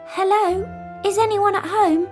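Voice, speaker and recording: A sweet young fairytale princess, acted by a woman, timid and polite. The voice is pitched high and lilts gently over soft music. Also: steady tone, unhurried. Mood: curious. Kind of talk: children's storybook character voice.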